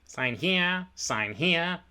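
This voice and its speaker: A man's voice: a little high, expressive, drawn-out words, sharp tone, clean tone, pitched above the performer's own voice, full character voice. A man voicing a fussy old royal official from a storybook, insistent and urgent, repeating himself as he hurries people along. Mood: urgent, hurrying.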